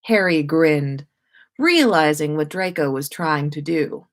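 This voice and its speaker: A woman reading a story aloud as expressive narration, like a host at story time. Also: sits low, slow pace. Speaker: host reading listeners' stories on a livestream.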